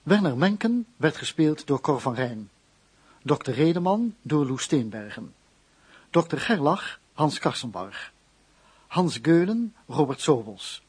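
Levendige, hese mannelijke radio-omroeper. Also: male voice, on the high side, big pitch swings, unhurried, husky. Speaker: announcer. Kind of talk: formal scripted radio credits announcement.

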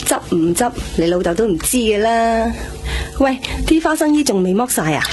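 An actress playing a brisk middle-aged mother in a radio drama, teasing and scolding in colloquial Hong Kong Cantonese. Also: fairly high pitch, animated, faint music behind, natural voice. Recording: clearly noisy, with clicking sounds.

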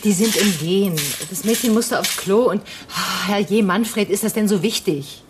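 A woman's voice: medium pitch, measured pace, background noise, lightly acted. An actress playing an irritable, self-possessed middle-aged woman doctor in a naturalistic radio drama, speaking formally and curtly. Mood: evasive, irritated. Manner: curt, formal, reserved.

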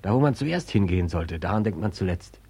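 An actor playing a gentle, luring guide who calls a soldier homeward, hushed and husky, in 1940s radio drama style. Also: male voice, deep voice, expressive, fairly quick, heavily acted. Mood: soft, coaxing.

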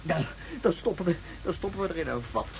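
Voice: medium pitch, expressive, fast talker, a little hiss or hum, lightly acted. Someone acting a queasy miserable citizen in a radio play, stuttering n gasping, voice all over the place. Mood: disgusted, raving. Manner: stammering, gasping, overwrought. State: nauseous, in distress. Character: sick, miserable, agitated citizen force-fed sweet eel.

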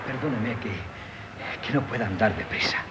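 An actor playing a frail, polite young sculptor in 1970s radio theatre, halting and deferential. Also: male voice, mid-range voice, lightly acted. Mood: weary, apologetic. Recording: very noisy 1970s radio broadcast.

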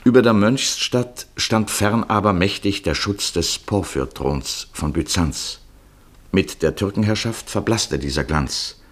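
A male narrator with a low-pitched voice. He delivers scripted, literary radio play text in a solemn, poetic and measured way.

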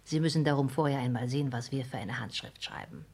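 An actress playing a hard, ambitious, scheming countess in a radio play, with formal, intense stage diction and a breathy, husky voice. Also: female voice, very deep voice, fast, a little mellow, full character voice. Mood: driven, resolute. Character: scheming, hard, ambitious countess plotting against her dying father. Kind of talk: 1960s German radio play acting.

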